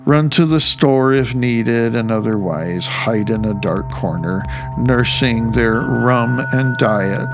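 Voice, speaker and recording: A man in his fifties reading his own reflective audiobook essay, with wry, emphatic phrasing. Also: fairly low pitch.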